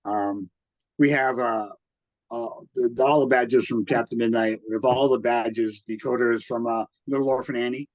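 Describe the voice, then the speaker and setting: An American man in his sixties talks casually about his past as a collector, in a halting, hesitant way. His pitch is middling, and he is recorded dry and slightly muffled. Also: steady tone, dry recording. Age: 60s. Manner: halting, hesitant, backtracks often. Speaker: radio collector and museum exhibitor, interviewee. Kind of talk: casual reminiscing interview.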